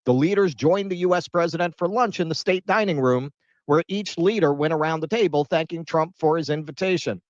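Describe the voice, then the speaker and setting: A man runs through a news summary and slips in dry, mocking remarks. His voice is mid-pitched. The recording is dry and dull, with a lo-fi sound. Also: brisk, no room sound. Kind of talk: read news summary with sarcastic commentary.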